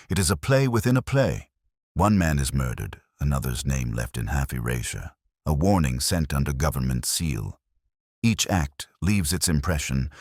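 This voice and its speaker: A man voicing a keen, commanding detective in an audiobook, speaking in formal, deliberate phrases. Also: rumbling low, husky, no echo, studio quality, exaggerated voice. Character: male master detective, keen, commanding and coolly analytical.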